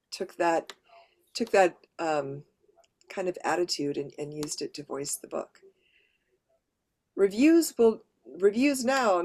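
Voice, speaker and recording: Woman, lower pitched, practical presenter explaining things step by step to a workshop audience. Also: a little mellow. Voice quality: mid to lower female voice. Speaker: workshop presenter and audiobook narrator.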